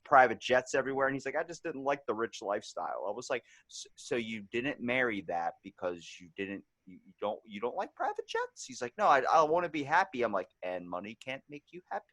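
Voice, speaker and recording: A man's voice: mid-range voice, big pitch swings, brisk, few pauses, a bit bright, no room sound. A man with a Baltimore accent hosts a casual interview podcast. He tells loose, profane stories, and his voice sits mid-range and sounds dry and close. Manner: loose, profane storytelling with bursts of laughter. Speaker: podcast host.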